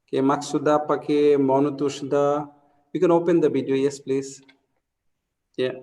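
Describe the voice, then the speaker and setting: A man's voice: medium pitch, fairly even intonation, moderate pace, mellow, big-room sound. A man with a Bangladeshi accent, speaking in a friendly, urging way while leading a small online group discussion. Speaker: breakout group participant. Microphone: video call.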